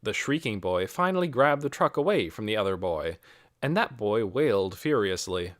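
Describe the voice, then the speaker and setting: Male audiobook narrator for children's fiction, expressive and lively in pitch, very dry recording. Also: moderate pace, no echo. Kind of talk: scripted narration of a children's novel.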